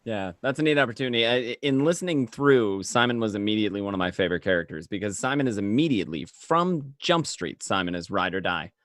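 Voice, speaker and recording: A man's voice: on the low side, lively intonation, fast, few pauses, a bit bright, no echo. Enthusiastic man hosting, dry close sound. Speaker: show host. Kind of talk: casual panel interview.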